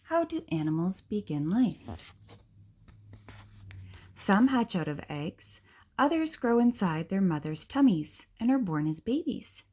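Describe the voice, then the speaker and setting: Husky woman reading to little children, lively intonation. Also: mid-range voice, a little slow, pauses often. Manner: gentle, explanatory, question-and-answer delivery. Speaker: reader of a children's picture book. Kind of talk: read-aloud storytelling to young children with questions and asides.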